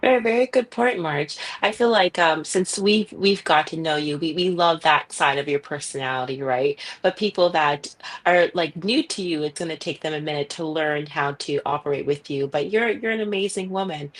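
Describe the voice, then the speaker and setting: A woman's voice: deep voice, moderate pace. A woman co-hosting a podcast, talking in an earnest, encouraging way. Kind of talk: casual chat.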